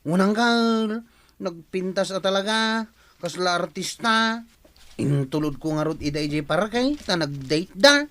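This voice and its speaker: A man's voice: high-pitched, animated, a little slow, background noise. An actor playing an eager, wheedling, gossipy male go-between in an Ilocano-accented radio comedy drama.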